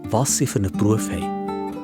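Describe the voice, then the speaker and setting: A man's voice: lowish voice, fairly quick, loud music behind the voice. A man with a Swiss German accent narrating a scripted story for children.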